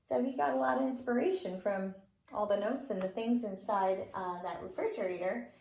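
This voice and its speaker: A woman's voice: mid-range voice, moderate pace, creaky, a little mellow. A woman reading a storybook aloud to young children as a teacher, expressively and with dramatic emphasis. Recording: roomy, echoing room sound.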